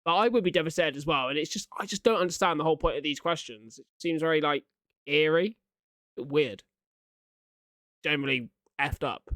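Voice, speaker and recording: A man's voice: fairly high pitch, fairly quick, fairly bright tone, no echo. A man giving casual, questioning reaction commentary as a video host, in colloquial speech.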